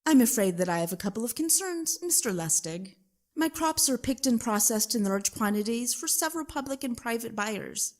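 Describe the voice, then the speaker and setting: A woman playing a wealthy, haughty plantation owner in an audio drama, formal and measured with quiet menace. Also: fairly high pitch, lively intonation, lightly acted. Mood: guarded, businesslike.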